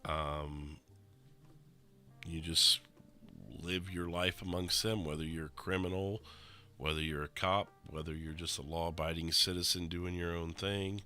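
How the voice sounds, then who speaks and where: A young man streaming a game, sounding nasal and rough after being sick, explaining things casually with lots of fillers. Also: deep voice, measured pace, soft background music. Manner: relaxed, rambling explanations with many fillers. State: nasal and rough from recent illness. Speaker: streamer explaining a roleplay server. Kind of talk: casual gameplay commentary.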